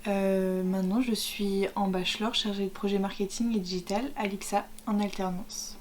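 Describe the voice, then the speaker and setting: 20yo woman, monotone-ish, light hiss. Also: medium pitch, normal speed. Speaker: student ambassador. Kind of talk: casual testimonial.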